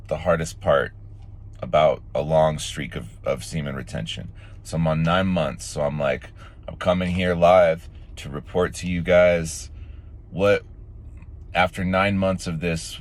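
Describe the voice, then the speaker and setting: Low, raspy-voiced male vlogger, sore throat, casual rambling delivery. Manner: rambling, many fillers and restarts. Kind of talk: casual morning vlog talk.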